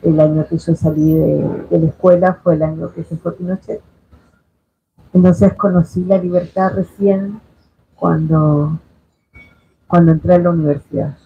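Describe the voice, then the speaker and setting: Slow gravelly woman from Chile, tinny sound. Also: lowish voice, steady tone. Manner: reflective, hesitant with many fillers. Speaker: panelist. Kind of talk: panel discussion. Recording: tinny lo-fi sound, faint noise.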